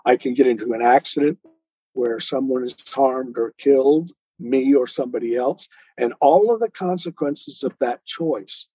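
A man's voice: medium pitch, normal speed, mellow, slightly rough. A man speaking as an interview guest, earnestly explaining spiritual ideas step by step in a didactic way. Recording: muffled, roomy, lo-fi sound.

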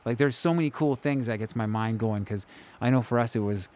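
A man's voice: medium pitch, a little fast, darkish tone. A man co-hosting a podcast, enthusiastic and often restarting his sentences mid-thought. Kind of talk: casual podcast chat.

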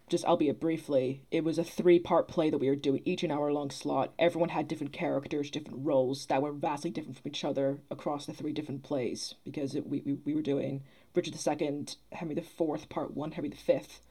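A woman's voice: deep voice, steady tone, fast, few pauses, dark tone, breathy edge. A young woman chatting casually as a podcast guest, reflective and articulate, explaining things at length. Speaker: podcast guest, theatre producer and actor. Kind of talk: casual interview chat.

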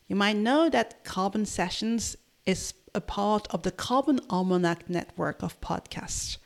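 A woman hosting a podcast, reading a scripted introduction. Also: medium pitch. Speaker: podcast host.

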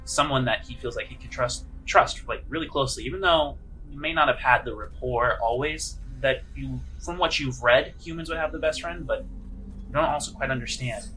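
Bright-voiced male game master. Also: medium pitch, faint music behind, low-quality recording. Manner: conversational, halting descriptive phrasing. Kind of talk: improvised tabletop game narration.

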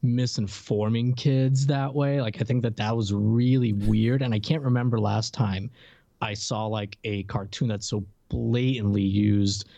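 A man co-hosting a podcast, giving his opinions in a casual, earnest and slightly halting way. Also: fairly low pitch, fairly even intonation, vocal fry, dark tone, no room sound. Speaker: podcast co-host. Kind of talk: casual review chat.

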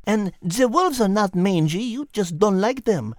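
A man's voice: high-pitched, lively intonation, measured pace, no echo, clean studio sound, pitched above the performer's own voice. A man voicing a refined, politely correcting female cat with a French accent for a children's story show. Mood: genteel, bright.

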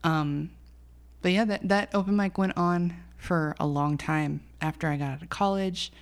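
Chatty self-deprecating woman, low-pitched. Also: normal speed. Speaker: singer-songwriter guest. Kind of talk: casual podcast chat.

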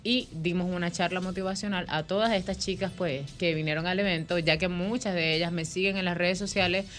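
A woman's voice: fairly low pitch, fairly even intonation, a little fast, fairly bright tone, faint music behind. A woman in her thirties with a Venezuelan accent, a microblading artist telling her life story as a guest on a radio talk show. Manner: chatty, storytelling, laughs easily.

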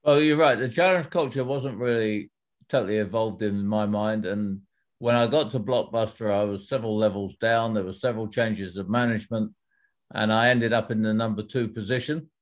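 Measured middle-aged man explaining. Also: lowish voice, fairly even intonation. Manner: measured, explanatory, with frequent restarts. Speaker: former executive, author. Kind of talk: interview answers.

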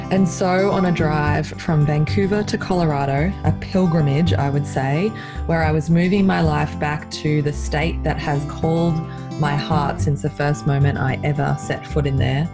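A woman hosting a podcast, speaking earnestly and reflectively, a little croaky in the early morning. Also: sits low. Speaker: podcast host. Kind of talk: scripted intro then casual chat.

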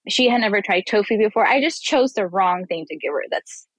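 Animated woman guest, dry close sound. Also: mid-range voice, a little fast, no room sound. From Mexico, raised on the Texas border. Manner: animated storyteller who acts out quoted voices and laughs often. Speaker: vegan chef and food blogger, guest. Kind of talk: casual interview conversation.

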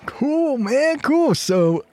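A man's voice: very high-pitched, big pitch swings, extremely slow, warm tone, full character voice. A man with an American accent playing an easygoing, eager, friendly old classmate, talking in slangy bro style in an audio drama. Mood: chummy, upbeat. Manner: laid-back slangy bro talk. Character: easygoing, eager, friendly male former high school classmate. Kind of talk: casual, naturalistic audio drama acting.

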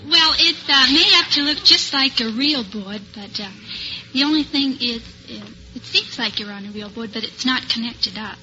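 A woman's voice: on the high side, normal speed, dull audio. An American woman being interviewed as a quiz show contestant, giggly and a little hesitant, on an old-time radio recording. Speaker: contestant, telephone operator.

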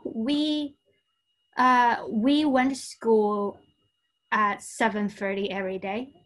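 A woman from China with a Chinese accent and Cantonese as her first language, answering as a podcast guest in a halting, explanatory, conversational way. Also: on the high side.

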